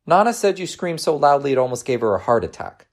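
Storybook mom acted by a male narrator: affectionate, teasing, encouraging, pitched up with very animated swings and some gravel. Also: fast. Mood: encouraging, playful. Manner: coaxing, affectionate, reassuring. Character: gentle, teasing, encouraging working mother.

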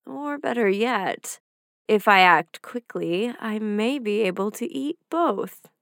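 A woman's voice: medium pitch, expressive, slow pace, gravelly, fairly bright tone, no room sound, clean studio sound, exaggerated voice. A woman voicing a cunning, hungry male wolf who speaks with sly, purring menace. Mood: scheming.

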